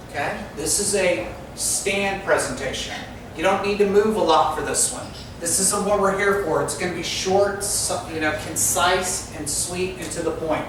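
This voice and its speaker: A man gives an instructive talk to a room, speaking straight to his listeners in a coaching tone. His voice sits high. It rings with heavy echo and carries from a distance over clear background noise. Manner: instructive, coaching tone with direct address. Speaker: speaker giving a club talk. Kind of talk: presentation to an audience. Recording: echoey hall from far off with noise.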